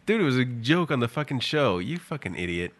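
A man's voice: medium pitch, sing-song, brisk. A man co-hosting a casual podcast, dry and teasing, swearing freely. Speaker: podcast co-host.

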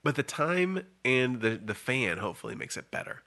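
A man's voice: mid-range voice, sing-song, moderate pace, sharp tone. A young man with a stuffed-up nose, chatting in easygoing, laughing banter. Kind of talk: casual chat.

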